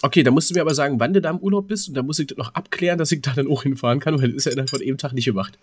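A man's voice: medium pitch, very fast, a little hiss or hum. A man, chatting casually and colloquially as a podcast co-host, with plenty of slang.

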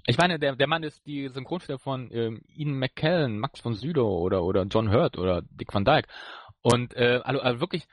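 A man co-hosting a podcast in casual chat about an audio drama, talking haltingly as he thinks aloud. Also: mid-range voice, lively intonation, no echo. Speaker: podcast co-host.

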